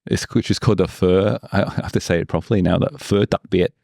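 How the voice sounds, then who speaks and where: A man's voice: deep voice, fairly even intonation, quick pace, creaky, a little mellow, dry recording, studio quality. A man co-hosting a food podcast, talking in an informal, conversational way. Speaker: podcast co-host. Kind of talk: casual food chat.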